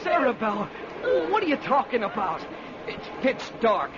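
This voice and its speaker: A man's voice: very high-pitched, very animated, measured pace, crowd noise, full character voice. An actor playing an impatient, disbelieving young country man with scolding rural speech, in vintage radio drama style. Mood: alarmed, impatient. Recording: muffled, noisy old radio recording.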